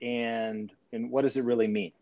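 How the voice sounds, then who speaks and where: A man hosting a conversational podcast interview, putting his questions in a slightly halting way. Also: medium pitch, level tone, darkish tone, no room sound, dull audio.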